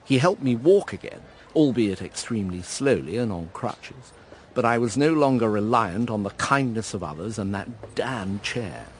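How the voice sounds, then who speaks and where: An actor playing a melancholy gentleman narrating his own past in a radio drama, measured, understated and reflective. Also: fairly low pitch, lively intonation, slight background noise, natural voice. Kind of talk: first-person dramatic narration.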